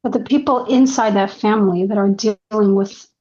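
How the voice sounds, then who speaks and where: A woman joins a group discussion, speaking hesitantly with many fillers. Her pitch stays flat and even, and her audio sounds dull and lo-fi. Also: moderate pace. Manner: hesitant, full of "like" and "you know".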